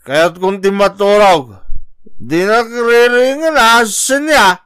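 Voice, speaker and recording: A man's voice: sits high, takes it slowly, fairly bright tone, clean tone, no room sound, clean studio sound. A man playing an irresponsible, gambling, hard-drinking father in an Ilocano audio drama, with an Ilocano accent. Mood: irritated, belligerent. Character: gruff, irresponsible gambling drunkard father and husband. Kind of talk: Ilocano radio-style drama acting.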